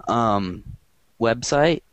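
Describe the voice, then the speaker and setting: A man hosting a podcast in casual chat, with a quiet, monotone voice and a rambling, dry way of talking. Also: mid-range voice, extremely slow, smooth, no echo. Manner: rambling and dry, filler-heavy. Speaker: podcast host.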